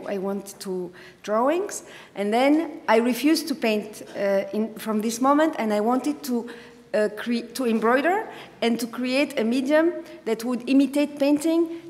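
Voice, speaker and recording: Halting woman speaker in a reverberant hall. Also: on the high side. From Egypt. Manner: halting, many fillers and restarts. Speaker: artist giving a symposium talk. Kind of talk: unscripted lecture with slides. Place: dark auditorium, speaking at a podium. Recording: long hall reverb, speaker far from mic.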